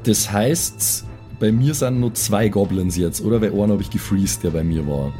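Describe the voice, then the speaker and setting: A man's voice: on the low side, moderate pace, mellow, faint music behind. A man chatting casually as a player in a tabletop role-playing game.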